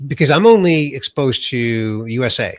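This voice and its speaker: A man's voice: medium pitch, expressive, normal speed, no room sound, clean studio sound. Nasal middle-aged male podcast host. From USA. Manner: enthusiastic, complimentary introductions. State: nasal from a cold. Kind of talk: interview podcast.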